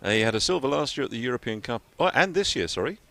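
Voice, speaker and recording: A man commentating live on a junior triathlon race and interviewing a coach, in a measured, informative way with occasional fillers. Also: mid-range voice, animated, creaky, a bit bright, no echo. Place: outdoors at a triathlon venue.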